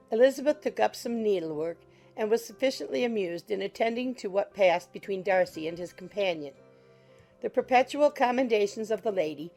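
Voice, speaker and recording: A woman reading literary narration as an audiobook narrator, measured and formal. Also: mid-range voice, a little mellow.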